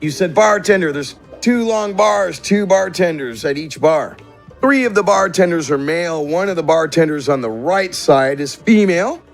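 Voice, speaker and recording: Higher-voiced man narrating as game master. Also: animated, normal speed. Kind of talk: improvised tabletop game narration. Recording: music behind the voice.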